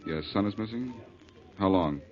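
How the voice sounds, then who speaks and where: An American actor playing a brisk, wry amateur detective in 1940s radio drama style. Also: male voice, fairly low pitch, lively intonation, natural voice. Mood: businesslike. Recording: noisy, dull 1940s radio recording.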